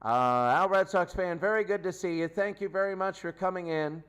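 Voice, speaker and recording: A man with a slightly high voice hosts an unscripted sports recap. He rattles through scores and stats in clipped fragments and repeats key phrases to drive them home. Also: normal speed, fairly bright tone, clean tone. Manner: repeats phrases for emphasis, clipped stat-listing. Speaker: sports show host, commentator. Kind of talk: unscripted game recap commentary.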